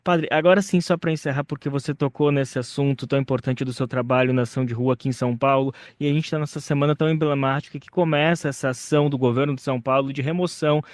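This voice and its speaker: A Brazilian man hosting an interview, speaking in a measured, reverent way. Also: mid-range voice, steady tone, no echo. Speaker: interviewer host. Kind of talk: radio interview.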